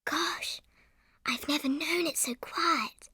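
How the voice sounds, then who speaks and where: A woman playing a curious, easily frightened young British orphan girl in a dramatized audiobook, breathy and exclamatory. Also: sits high, slow pace, bright, forceful tone, dry recording, clean studio sound, exaggerated voice. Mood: hushed wonder.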